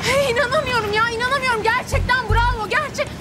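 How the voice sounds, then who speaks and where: An actress playing an excitable, dramatic, naive city visitor with exclamatory, effusive speech in a light TV drama. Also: female voice, very high voice, fast. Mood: frantic, indignant. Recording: loud music and crowd behind dialogue.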